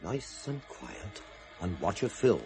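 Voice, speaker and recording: An actor playing a sardonic, insistent British police detective in charge, in a 1970s radio drama, with a husky voice. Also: male voice, lowish voice, sing-song, slow, warm tone, soft background music, heavily acted. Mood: needling.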